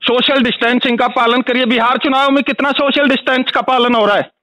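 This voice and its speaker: Male caller, very high voice, furious and insistent, customer complaint rant, dry phone recording. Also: steady tone, fairly quick, pushed and bright, no echo. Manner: angry, insistent, ranting, repetitive. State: angry. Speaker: complaining customer calling a helpline.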